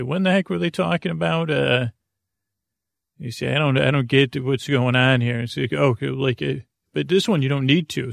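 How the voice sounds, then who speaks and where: Creaky, rambling male podcast host. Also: mid-range voice, no echo, clean studio sound. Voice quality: soft creaky tones. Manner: rambling, easily sidetracked, self-mocking. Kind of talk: rambling bedtime podcast talk.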